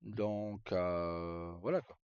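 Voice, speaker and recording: A man's voice: low-pitched, fairly even intonation, extremely slow, background noise. A man live-streaming, chatting casually to viewers and explaining things in an informal, hesitant, repetitive way. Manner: informal, hesitant, repeating himself. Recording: roomy, dull lo-fi stream microphone.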